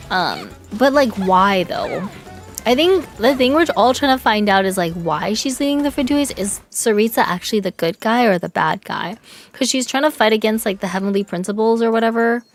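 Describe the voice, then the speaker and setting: A young woman streaming a game, chatting casually with her chat, swearing and yelling in excitement now and then. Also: mid-range voice. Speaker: VTuber streamer. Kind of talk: casual gaming livestream chat.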